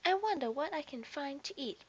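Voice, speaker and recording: A woman's voice: high voice, animated, normal speed, dull audio, pitched above the performer's own voice. A woman voicing a plucky, boastful little male lion cub in a storybook, bold and self-important. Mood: musing.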